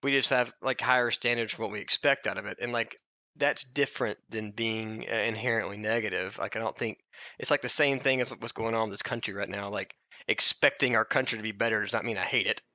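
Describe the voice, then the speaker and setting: Bright-voiced man, slightly muffled podcast mic. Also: lowish voice, fairly quick, no echo. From Alabama, United States. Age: 30s. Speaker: podcast co-host. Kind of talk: casual conversational podcast talk.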